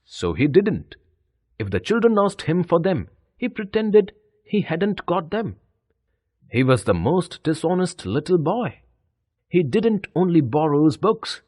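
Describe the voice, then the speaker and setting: A man narrates a children's story in a fairly high, slightly rough voice. His delivery is deliberate and expressive, with very animated intonation. The recording is dry and close. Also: no echo.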